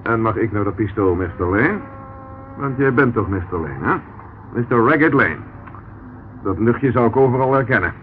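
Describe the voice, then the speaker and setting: An actor playing a sardonic, dogged police inspector in a radio drama, mocking and needling with mock politeness. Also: male voice, fairly low pitch, expressive, moderate pace, long pauses, lightly acted. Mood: taunting. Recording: tinny, noisy old radio play recording.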